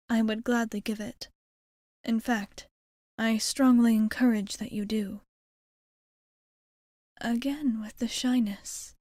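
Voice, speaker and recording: A woman playing a courtly, doting vampire bartender in a soft-spoken, partly whispered ASMR roleplay, with formal, genteel phrasing. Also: a little high, no echo, studio quality. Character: courtly, doting adult female vampire bartender, long-lived.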